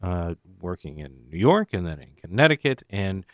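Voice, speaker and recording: A man hosting an interview, asking questions haltingly with many restarts and fillers. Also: low-pitched, big pitch swings, a bit bright, no echo. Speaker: radio interviewer. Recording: dull lo-fi dry sound.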